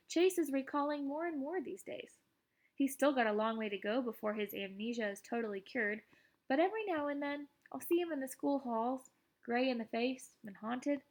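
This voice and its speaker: An excitable teenage schoolboy voiced by a woman tells his own story in a fairly high voice. He is boastful yet self-deprecating, with a wry, conversational audiobook delivery that stays animated. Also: close to an everyday voice. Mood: earnest. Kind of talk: first-person audiobook narration.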